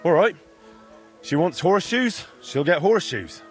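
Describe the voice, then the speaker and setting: A man's voice: high voice, sing-song, moderate pace, faint music behind. A man playing an earnest, polite young medieval master blacksmith in a video game. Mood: mildly puzzled. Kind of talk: naturalistic game dialogue.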